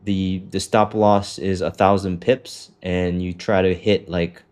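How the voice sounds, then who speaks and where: A man's voice: low voice, flat delivery, a little slow, a little mellow, clear, resonant tone. A man coaching a student through a trading setup on a call, talking loosely with lots of fillers and the odd swear. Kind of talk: casual walkthrough on a call.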